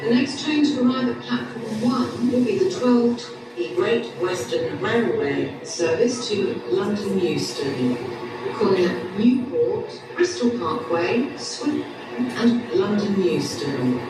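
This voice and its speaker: Slow female station announcer, heavy echo. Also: mid-range voice, animated. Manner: formal, deliberate listing. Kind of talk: scripted public announcement. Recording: echoey, distant station PA with music.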